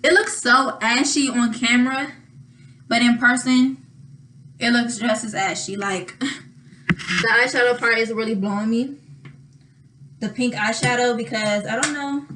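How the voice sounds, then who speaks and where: A young woman with a slightly raspy voice chatting casually through a makeup tutorial, thinking aloud and pausing as she works. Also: on the high side, fairly even intonation, background noise. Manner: thinking aloud, drawn-out pauses while applying makeup. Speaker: makeup vlogger.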